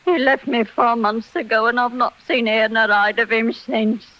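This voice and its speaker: A woman's voice: fairly high pitch, fairly even intonation, normal speed, fairly bright tone, natural voice. An actress playing a poor, humble old village seamstress worried for her son, in 1940s radio style. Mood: anxious, pleading. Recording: dull 1940s radio recording.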